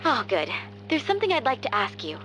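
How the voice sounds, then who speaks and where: Proper young warrior lady in a game, gracious, lilting, soft music behind. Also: a little high, huge pitch swings, a little fast, poor audio. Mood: earnest, polite. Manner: formal, gracious. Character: polite, earnest, refined young noblewoman warrior. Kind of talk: video game voice acting.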